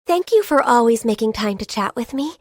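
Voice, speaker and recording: A woman voicing a shy, gentle, diligent half-Qilin secretary in a video game, with polite, earnest, soft-spoken delivery. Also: a little high, animated, brisk, dry recording, clean studio sound. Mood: grateful. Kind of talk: video game character voice lines.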